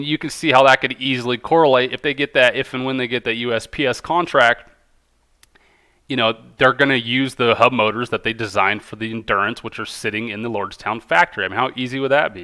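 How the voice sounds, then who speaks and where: A man with a bright, forceful voice at an average pitch talks like an amateur online commentator. His delivery is informal and slangy, with an enthusiastic tilt, whether he is reading material out or explaining it in his own words. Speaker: video host, amateur stock commentator. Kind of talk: casual commentary, reading out quotes and reacting.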